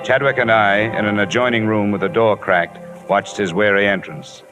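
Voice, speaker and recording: A man's voice: lowish voice, steady tone, normal speed, over music, close to an everyday voice. A man with an American accent acting a hard-boiled, weary insurance investigator in an old radio detective drama, clipped and wry. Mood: brisk, wry. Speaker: lead actor and narrator. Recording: noisy old radio broadcast recording.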